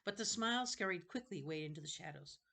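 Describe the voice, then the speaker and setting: A woman narrating a children's novel aloud, unhurried, sometimes going back over a phrase. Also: lowish voice, lively intonation, vocal fry, sharp tone. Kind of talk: storybook narration read aloud.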